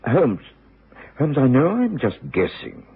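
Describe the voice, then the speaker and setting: An actor playing a loyal middle-aged British gentleman doctor, telling the story earnestly and formally in old radio drama style. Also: medium pitch, wildly swooping pitch, takes it slowly, breathy edge, full character voice. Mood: eager, puzzled. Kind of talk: 1950s-60s radio drama acting and narration. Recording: muffled, noisy old radio recording.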